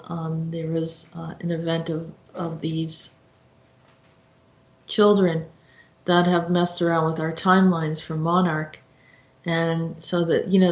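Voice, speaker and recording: A woman giving an informal explanatory talk as a host, in a halting, reflective manner. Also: lowish voice. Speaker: host commentator. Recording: roomy, echoey sound.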